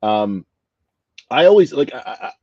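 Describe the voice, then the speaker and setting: A man's voice: mid-range voice, big pitch swings, slow pace, mellow, no room sound. A man hosting a podcast, chatting casually and joking, with many restarts and fillers. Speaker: podcast host. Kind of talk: casual interview chat. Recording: dry, dull lo-fi sound.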